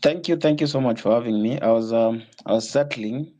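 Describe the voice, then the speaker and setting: Young man from Kenya, mellow tone, dull muffled call audio, earnest. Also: medium pitch, fairly quick. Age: 20s. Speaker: guest, political aspirant. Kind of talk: casual interview talk.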